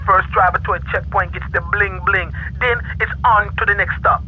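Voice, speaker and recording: A swaggering Jamaican gang boss from a video game, barking orders in thick slang. The voice is high and very sharp, with some noise on the recording. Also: male voice, steady tone, normal speed, low-quality recording. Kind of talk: video game cutscene acting.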